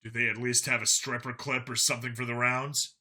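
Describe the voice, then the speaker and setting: A man voicing a gruff, stubborn cop and urban gunfighter in an audiobook, dry and emphatic. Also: mid-range voice, little pitch movement, a little fast, pushed and bright. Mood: dry, wary. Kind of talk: acted audiobook dialogue.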